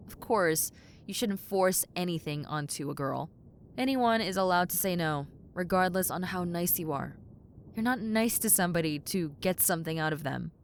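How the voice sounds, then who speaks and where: A woman's voice: on the low side, normal speed, a little hiss or hum, natural voice. A woman voicing a brash tomboy succubus in a roleplay audio, sarcastic, incredulous and casually blunt. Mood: frank, advising. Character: brash tomboy succubus demon, blunt, sardonic, reluctantly helpful. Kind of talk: roleplay audio acting, conversational.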